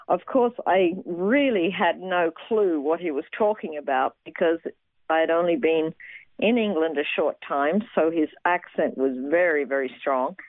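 A middle-aged woman from Australia, a guest telling her faith story earnestly with many fillers and pauses. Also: lowish voice, no echo. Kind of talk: personal testimony interview. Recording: tinny phone-like sound, dry.

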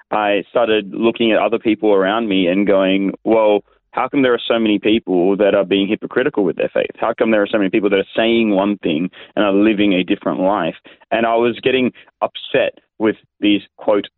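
Over a narrow, phone-like connection, an Australian man talks in a fairly low voice. He is an interview guest, a pastor recounting his experiences conversationally, with little room echo. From Sydney, Australia. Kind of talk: casual conversational storytelling. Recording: tinny narrow phone-like line.

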